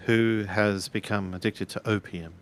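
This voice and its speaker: A man's voice: lowish voice, fairly even intonation, measured pace. A man playing a tabletop roleplaying game, improvising dialogue in a hesitant, questioning way as he thinks aloud.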